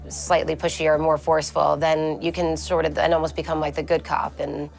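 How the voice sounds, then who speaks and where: Wardrobe lady, gravelly voice, pretty flat, soft and diplomatic. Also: sits low, brisk, faint music behind. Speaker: wardrobe crew member. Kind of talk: documentary interview.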